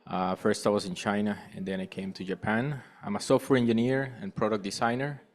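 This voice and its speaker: A man's voice: fairly low pitch, fairly even intonation, a little fast. A man from Costa Rica, speaking casually as a panelist. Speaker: panel speaker, software engineer. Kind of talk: casual self-introduction at a panel.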